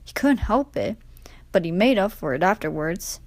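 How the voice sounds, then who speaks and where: A woman voicing a wise old male steam engine in a children's storybook, measured, earnest and gently reproving. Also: fairly low pitch, very animated, dry recording. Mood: earnest explaining.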